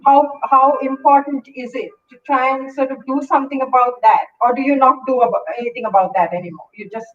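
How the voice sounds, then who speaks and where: A woman's voice: high-pitched, fairly even intonation, fairly quick. Sri woman host, distant on a call. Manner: conversational, anecdotal. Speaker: host, interviewer. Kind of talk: casual interview conversation. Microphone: video call. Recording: distant, muffled online call audio.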